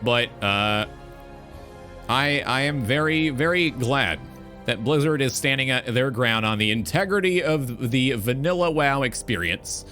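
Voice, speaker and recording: A man's voice: mid-range voice, a little slow, bright, forceful tone, faint music behind. A man hosting a gaming talk show, delivering an opinionated monologue in an emphatic, blunt, sweary way.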